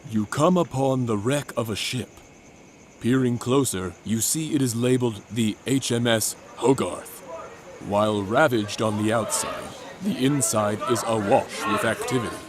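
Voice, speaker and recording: A man playing a grave narrator of a boys' mystery adventure, theatrical, mock-serious and deliberate in his dramatic reading. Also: mid-range voice, lightly acted. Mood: ominous, grandiose.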